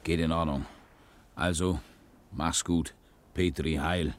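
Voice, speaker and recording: An actor playing a gruff but loyal, plain-spoken boatswain in a 1960s German radio crime drama. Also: male voice, rumbling low, fairly even intonation, takes it slowly. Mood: comradely.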